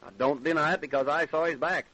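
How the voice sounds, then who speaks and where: An actor playing a skeptical federal investigator in a radio drama, authoritative and probing. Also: male voice, fairly high pitch, steady tone, fast, a bit bright, rough recording. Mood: stern, skeptical. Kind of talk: old-time radio drama acting.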